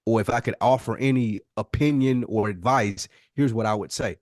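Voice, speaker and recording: A man's voice: mid-range voice, moderate pace, a little mellow, no room sound, clean studio sound. A man co-hosting a podcast, talking in an earnest, conversational and reflective way. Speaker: podcast co-host. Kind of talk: casual, reflective discussion.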